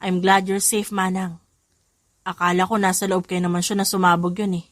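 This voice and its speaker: A woman's voice: fairly low pitch, level tone, normal speed, dry recording, clean studio sound, natural voice. A woman playing a devoted, playful young husband in an audio drama. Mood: relieved, caring.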